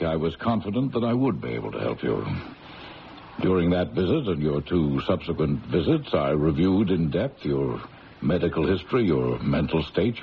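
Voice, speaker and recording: A grave, gently authoritative psychiatrist in a radio mystery play. His deep, creaky voice moves expressively through formal, deliberate phrases. The old broadcast sound is muffled. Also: slight background noise, full character voice. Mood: gently explaining. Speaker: actor. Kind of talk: radio mystery drama acting.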